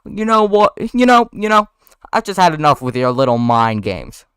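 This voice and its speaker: A man's voice: on the high side, sing-song, a bit bright, smooth, dry recording, clean studio sound, voice pushed up. A man playing a jealous, irate boyfriend with a strained, sarcastic, stammering delivery. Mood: fed up. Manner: stammering, seething, drawn-out sarcasm.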